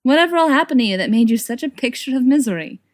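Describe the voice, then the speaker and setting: A woman's voice: sits high, lively intonation, fast talker, voice pushed up. A woman voicing a friendly, chatty young man in a storybook reading, cheerful and coaxing. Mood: cheerful, easygoing.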